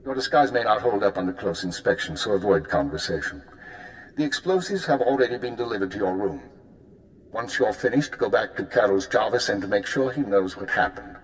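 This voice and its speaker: A man's voice: lowish voice, lively intonation, brisk, slight background noise, close to an everyday voice, poor audio. A man voicing a cool, formal intelligence handler. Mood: briefing. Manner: formal, clipped briefing tone. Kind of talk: video game voice acting.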